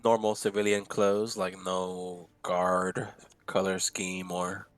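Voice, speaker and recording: A man's voice: low voice, level tone, slow, dry recording. A man speaking casually as a player in a tabletop role-playing game, describing what his character does.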